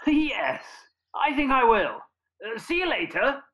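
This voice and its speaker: A courtly British country squire from a radio pantomime, played by a man. His voice is high and forceful, with lively theatrical swings and a softhearted gentleman's manner. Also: unhurried, exaggerated voice. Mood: surprised.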